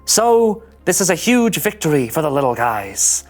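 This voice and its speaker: A woman takes on a young male starship ensign in a sci-fi drama reading. The voice sits fairly low, earnest and easily flustered, with a melodramatic, indignant streak. Soft music runs underneath. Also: sing-song, moderate pace. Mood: solemn, resolved. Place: studio.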